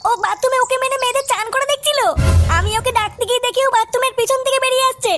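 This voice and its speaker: A woman voicing a scolding, sharp-tongued young cartoon housewife, pitched up and accusatory. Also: steady tone, racing, loud music behind the voice. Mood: accusing. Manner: nagging, accusatory.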